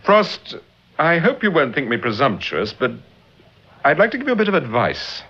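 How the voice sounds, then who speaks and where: Suave English detective, lively intonation. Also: male voice, a little high, normal speed, husky, exaggerated voice. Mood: firm, probing. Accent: English Received Pronunciation. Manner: urbane, polite, reassuring, understated. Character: suave, unflappable middle-aged English amateur detective and novelist. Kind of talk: mid-century BBC radio drama acting. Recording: dull, muffled vintage radio recording.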